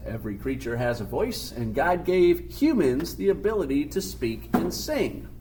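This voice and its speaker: Husky deep-voiced male science teacher. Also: a little mellow. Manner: patient, explains definitions step by step. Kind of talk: recorded classroom lecture.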